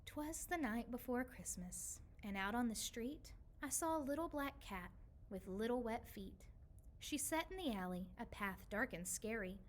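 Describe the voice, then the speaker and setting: A woman reading a children's storybook aloud with an expressive, rhythmic delivery. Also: a little high, measured pace, a little hiss or hum.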